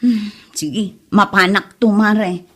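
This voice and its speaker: A woman's voice: medium pitch, fairly even intonation, takes it slowly. A woman playing an apologetic middle-aged village mother in an Ilocano comedy drama. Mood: sheepish. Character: apologetic village mother, soft on her daughter's suitor.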